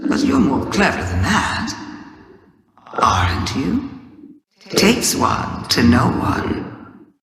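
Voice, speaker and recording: A woman's voice: extremely low pitch, wildly swooping pitch, gravelly, a bit bright, exaggerated voice. Ancient alien witch queen for a video game: scheming, grandiose trickster, sweeping theatrical intonation, drenched in long reverb. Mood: knowing, sly. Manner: sly, deliberate, taunting, grandiose. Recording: echoey voice with long cavernous reverb.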